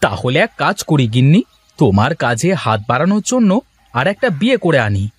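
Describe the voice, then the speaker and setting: A man playing a humble, hard-up village potter in a moral-story cartoon, with a folksy, lamenting and expressive way of talking. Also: mid-range voice, brisk, breathy edge, no echo. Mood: teasing.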